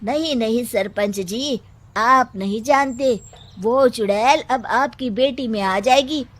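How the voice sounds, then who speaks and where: Woman playing an earnest village man, highish voice. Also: takes it slowly. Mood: alarmed. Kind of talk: cartoon moral-story voice acting.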